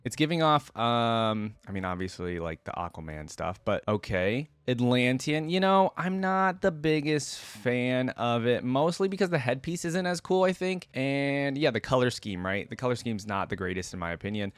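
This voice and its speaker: A man's voice: medium pitch, animated, moderate pace, hardly any pauses, clear, resonant tone, no room sound. A man giving casual, opinionated reaction commentary as a host, musing aloud in an informal way.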